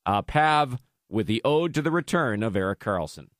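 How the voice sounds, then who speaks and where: A man's voice: mid-range voice, lively intonation, moderate pace, heavy background noise. A man hosting a sports radio show with casual banter, joking in a wry, mock-serious way. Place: radio studio.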